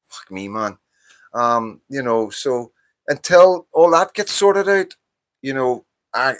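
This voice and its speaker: A man's voice: mid-range voice, fairly bright tone. A man in his fifties with a Northern Irish accent, hosting a livestream and ranting about politics in a heated, profane, repetitive way. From Northern Ireland. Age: 50s. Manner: impassioned, ranting, profane, repeats himself. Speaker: livestream host. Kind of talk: casual political monologue.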